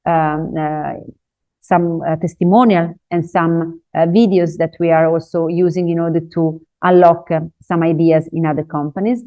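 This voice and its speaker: Hesitant woman presenting a webinar, low mellow voice. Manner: hesitant, frequent "uh" fillers, non-native English phrasing. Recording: dull lo-fi webinar audio.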